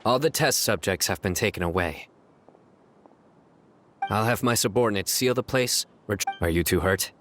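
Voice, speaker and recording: Man playing a stern, low-voiced enforcer. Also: moderate pace, long pauses, fairly bright tone, a little hiss or hum, close to an everyday voice. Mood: calm, businesslike. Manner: terse, matter-of-fact. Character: stern, composed male law-enforcement leader. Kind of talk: anime game voice acting.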